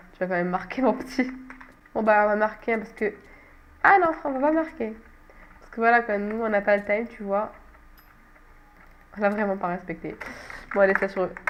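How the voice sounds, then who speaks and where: A woman's voice: medium pitch, normal speed, pauses often, lo-fi sound, a little hiss or hum. A young woman with a cold-stuffed nose, chatting casually over a game she plays and often making fun of herself. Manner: chatty, self-mocking, laughs often. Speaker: gaming channel host. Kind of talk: casual gameplay commentary.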